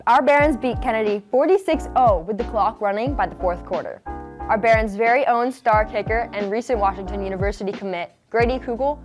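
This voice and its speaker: Student girl doing sports news, peppy n slangy, music playing underneath. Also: fairly high pitch. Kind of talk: scripted sports news reading.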